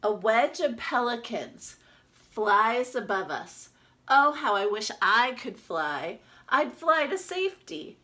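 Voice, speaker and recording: Woman reading as a bright, expressive little toy duck. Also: fairly high pitch, slow, heavily acted. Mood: frightened, excited. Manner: expressive, dramatic storybook narration. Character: small yellow plastic toy duck, timid, lonely storyteller. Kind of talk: children's picture book read-aloud.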